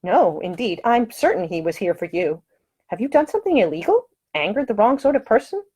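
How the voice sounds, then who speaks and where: A woman's voice: mid-range voice, sing-song, brisk, darkish tone, husky, pitched above the performer's own voice. A woman voicing a brave, proper young lady in an audiobook story, with formal, articulate speech. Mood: anxious, earnest.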